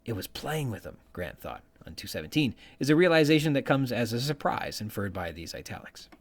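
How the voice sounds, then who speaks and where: Lively, expressive male podcast host. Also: mid-range voice, fairly quick. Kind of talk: literary commentary reading from prepared notes, quoting passages.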